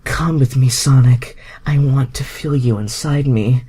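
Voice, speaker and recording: A man's voice: medium pitch, unhurried, warm tone, husky, voice pushed up. A man voicing a bold, flirty woman from superhero fan fiction, with a sultry, pushy manner. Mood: urgent, aroused.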